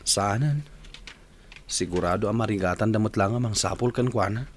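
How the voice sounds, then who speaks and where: An actor with an Ilocano accent playing a heartbroken young man who was swindled by his lover, speaking softly in a radio drama. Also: on the low side, normal speed, slight background noise, natural voice. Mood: dejected. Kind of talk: 1990s-style provincial AM radio drama acting.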